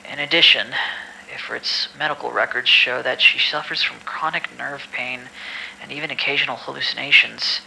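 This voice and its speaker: A man acts out an irritable, cynical records officer in an audio drama. His voice is very bright and forceful with a gravelly creak, dry and formal when reading and prone to exasperated grumbling. There is faint noise behind him. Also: mid-range voice, steady tone, normal speed, heavily acted, poor audio. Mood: formal, clinical. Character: irritable, cynical male staffer recording medical files. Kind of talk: amateur audio drama acting.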